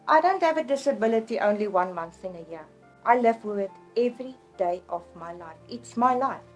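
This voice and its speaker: A middle-aged woman from South Africa with an Afrikaans accent, telling her own story in an interview in an earnest, plainspoken way, often restarting words. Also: a little high. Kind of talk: personal testimony in an interview.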